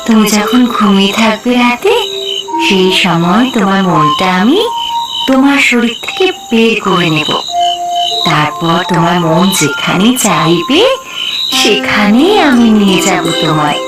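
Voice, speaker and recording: A woman voicing a gentle, secretive magical flying spirit in a cartoon, coaxing and mysterious. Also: mid-range voice, animated, unhurried, lightly acted. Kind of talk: cartoon story voice acting. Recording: distant mic under loud music.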